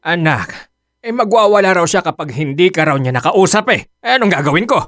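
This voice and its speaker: A man's voice: high voice, animated, a little fast, a bit bright, dry recording, clean studio sound. A man playing a flustered, pushover father in a radio drama, hesitant and stammering. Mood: exasperated.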